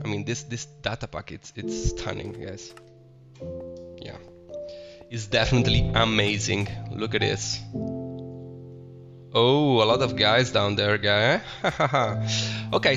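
Italian-accented guy narrating his gameplay, gravelly voice, chatty and excitable, slightly muffled audio with background music. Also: medium pitch. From Italy. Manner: chatty, self-correcting, enthusiastic about the game. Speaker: Minecraft let's play host. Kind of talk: casual gameplay commentary.

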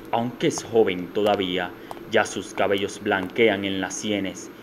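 A man's voice: deep voice, noisy background. A man narrating a scripted radio drama in a solemn, dramatic manner. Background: guitar music.

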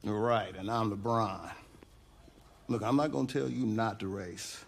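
An actor with an American accent playing a gruff but fond middle-aged garage owner in a video game, wry and laid-back. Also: male voice, lowish voice, a little slow. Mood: wry, relaxed. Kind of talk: naturalistic video game dialogue.

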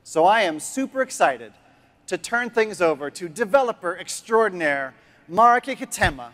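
A middle-aged man speaks with a fairly high, upbeat voice from a big conference stage. He talks in the energetic way of a keynote host and keeps urging the audience on. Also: moderate pace. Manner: enthusiastic, rallying the crowd.

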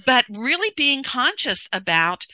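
Loud, bright-voiced middle-aged woman coach. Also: high-pitched, unhurried, no echo. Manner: loud, animated, many restarts. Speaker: parenting coach guest. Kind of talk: casual interview conversation. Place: home room, indoors. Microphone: headset on a video call.

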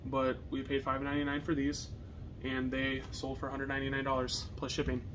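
Young american guy chatting to camera, pretty flat halting delivery, noisy basement sound. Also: mid-range voice. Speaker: reseller co-hosting a video channel. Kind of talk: casual chat to camera. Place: partially finished basement, indoors. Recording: noisy basement room recording.

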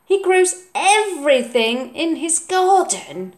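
A woman's voice: extremely high pitch, big pitch swings, slow, voice pushed up, full character voice. A woman voicing a stubborn, sulky young boy in a storybook, drawing out words emphatically. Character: stubborn young boy proud of his grandpa.

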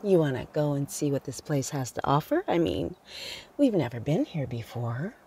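A woman playing a teasing, good-natured newlywed bride in a radio drama, with a husky, creaky voice. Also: sits low, sing-song, normal speed, dark tone, a little hiss or hum.

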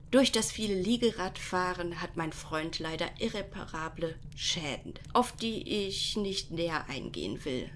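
A woman's voice: on the low side, a bit bright, slight background noise. A woman playing a haughty, menacing villainess who schemes and gloats in a parody detective audio drama. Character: scheming, gloating villainess holding heroes at gunpoint.

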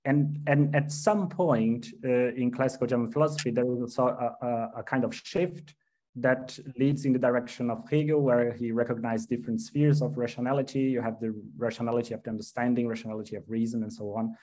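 A man's voice: mid-range voice, steady tone, brisk, warm tone. A man in his thirties asking a long, polite academic question over a video call, repeating words as he goes. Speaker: seminar participant asking a question. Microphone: laptop webcam video call.

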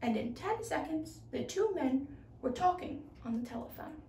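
A teenage girl reads a storybook aloud with a high, soft-edged, mellow voice. She takes her time over each phrase. The room echoes around her and she sits back from the microphone. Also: animated, moderate pace, a little hiss or hum. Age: teens, high school junior. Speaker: children's storybook reader. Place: small room indoors. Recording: roomy, distant microphone.

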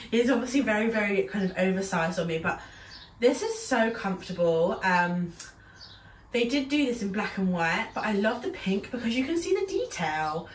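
Stuffed-up woman chatting in a roomy space. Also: medium pitch. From United Kingdom. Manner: chatty, rambling, frequent ums and little laughs. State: stuffy nose from a cold. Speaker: fashion vlogger. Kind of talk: casual try-on haul chat. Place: small room at home, indoors. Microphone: camera across the room. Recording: roomy echo, voice a little far from the mic.

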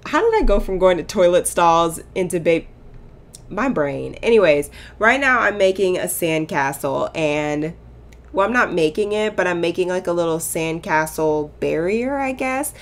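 A young woman chatting casually over her game build, her voice a bit hoarse and cracking, rambling into playful asides. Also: lowish voice, animated, moderate pace. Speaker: sims builder YouTuber. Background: soft background music.

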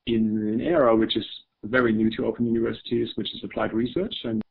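A man's voice: mid-range voice, steady tone, fast talker, vocal fry, slightly rough. A middle-aged man from Germany with a German accent, giving an academic webinar talk, hesitant, with many fillers and repeated words. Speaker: webinar presenter. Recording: phone-like webinar audio.

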